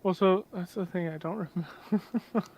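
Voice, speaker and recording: A flustered man in casual voice chat, fumbling through a technical hitch mid-game. His voice is quite high and hesitant. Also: normal speed, dull audio. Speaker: player.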